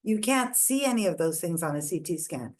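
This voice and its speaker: A woman's voice: fairly low pitch, expressive, brisk. A woman from India giving a methodical online lecture, pointing out structures on images one by one. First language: not English. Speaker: lecturer on ear anatomy. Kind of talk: online lecture walking through scans.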